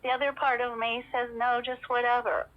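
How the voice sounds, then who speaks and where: A woman's voice: a little high, flat delivery, moderate pace. A middle-aged woman talking casually on a recorded phone call, rambling and hesitant as she goes. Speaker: caller in a personal phone conversation. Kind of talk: casual private phone chat. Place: telephone call. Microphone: telephone.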